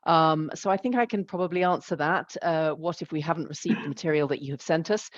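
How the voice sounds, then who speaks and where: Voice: mid-range voice, quick pace. Moderator reading qs out, lots of ums, mid voice, dull sound. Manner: hesitant, many fillers. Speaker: question moderator. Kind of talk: reading out audience questions. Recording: dull lo-fi audio.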